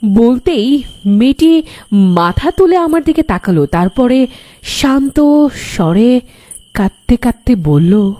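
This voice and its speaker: A woman's voice: on the high side, animated, takes it slowly, long pauses, warm tone, no echo. A woman narrating a first-person horror story in a dramatic, suspenseful way.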